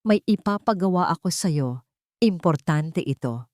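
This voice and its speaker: A woman's voice: on the low side, measured pace, darkish tone, no room sound, studio quality, natural voice. A woman voicing a commanding, protective wealthy male boss in a story, speaking firmly. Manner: firm, full of command.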